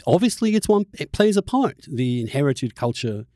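Halting, emphatic man in dry-sounding discussion. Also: on the high side, very animated, warm tone, no room sound. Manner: halting, repeats words, emphatic. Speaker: podcast host, journalist. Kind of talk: conversational podcast discussion.

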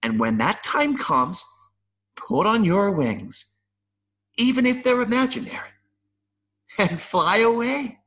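A gentle little mayfly, played by a man, speaks at a slow, measured pace. He is earnest and philosophical, full of wistful longing for the wider world, with small hesitant stammers. The delivery is that of an intimate audio play. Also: high-pitched, big pitch swings, full character voice. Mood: uplifting encouragement.

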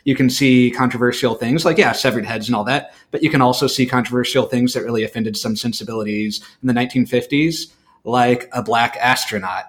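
A man with a medium-pitched voice co-hosts a library podcast. He chats casually and explains things earnestly, like someone giving a recommendation. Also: brisk.

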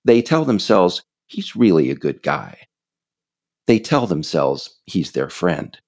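An American man reading scripted political narration in a measured, emphatic, explanatory way. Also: low voice, very animated, darkish tone. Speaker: author narrating own political audiobook.

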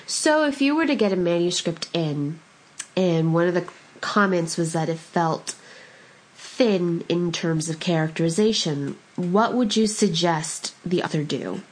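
A woman hosting a podcast, husky and stuffed up from a summer cold, talking casually with a rambling, self-deprecating manner. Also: on the low side, a little slow, low-quality recording. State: congested from a cold. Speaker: podcast host.

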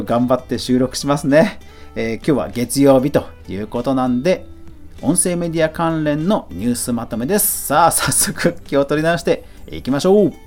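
A man's voice: medium pitch, a little mellow. A man hosting a solo news roundup podcast, talking in a chatty, conversational way and reacting aloud to each item. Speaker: podcast host and YouTuber. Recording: music playing behind the voice. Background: guitar music.

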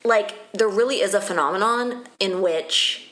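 A woman's voice: medium pitch, moderate pace, fairly bright tone. A woman from Ontario co-hosting a casual political podcast, chatty and self-deprecating with many fillers. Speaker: podcast co-host.

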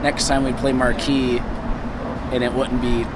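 A man of almost thirty, a band member, talking in an easygoing, halting way. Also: mid-range voice, flat delivery, heavy background noise. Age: 20s. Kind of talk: casual interview chat.

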